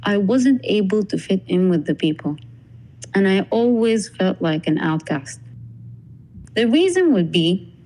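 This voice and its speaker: Dark-voiced young woman, earnest guest. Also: mid-range voice, big pitch swings, a little slow, low-quality recording. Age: young adult. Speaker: podcast guest. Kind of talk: reading a prepared account.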